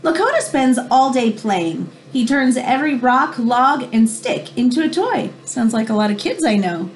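Expressive, high-voiced woman reading aloud. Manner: expressive, teacherly, pausing to explain words. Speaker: children's picture book reader. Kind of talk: read-aloud storytelling with explanatory asides for children. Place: small room indoors at home. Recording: home recording with background noise.

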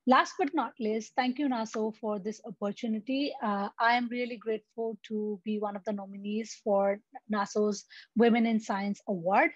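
A woman's voice: on the high side, moderate pace, no echo. Nepali-speaking young woman doing a prepared talk at home, lots of ums, dull webcam sound, dry room. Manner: earnest, steady, frequent ums and uhs. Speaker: award nominee. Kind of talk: prepared video talk over slides. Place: indoors at home. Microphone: laptop webcam on a video call. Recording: dull lo-fi laptop audio.

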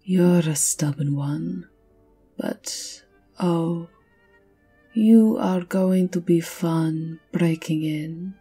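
Woman playing a dark-voiced, slow, seductive vampire countess. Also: on the low side, steady tone, full character voice. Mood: seductive, possessive. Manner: imperious, slow, teasing, often dropping to breathy whispers. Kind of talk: ASMR roleplay monologue. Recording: violin music behind the voice.

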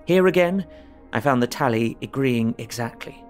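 An actor playing a polite Victorian gentleman, reading his journal in a formal, precise and wordy manner. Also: medium pitch, expressive, normal speed, natural voice. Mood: precise, businesslike. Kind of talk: dramatic audio journal narration. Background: music.

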